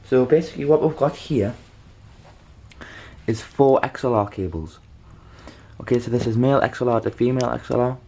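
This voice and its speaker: A man presenting an informal how-to demonstration, halting and rambling, often checking in with the listener. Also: mid-range voice, gravelly, warm tone, slight background noise. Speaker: video tutorial presenter.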